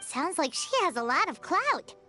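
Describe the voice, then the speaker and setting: A woman's voice: high-pitched, big pitch swings, pushed and bright, full character voice. A woman voicing a tiny, chatty, nosy female sprite companion in a game. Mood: curious. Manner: bubbly, curious, quick to question. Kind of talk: game voice acting. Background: faint game music.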